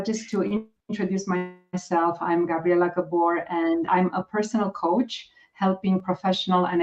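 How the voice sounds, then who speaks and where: A woman's voice: fairly low pitch, darkish tone. A middle-aged woman with a Romanian accent, a guest coach talking casually and hesitantly, with many fillers and restarts. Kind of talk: casual interview talk. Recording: dull lo-fi audio.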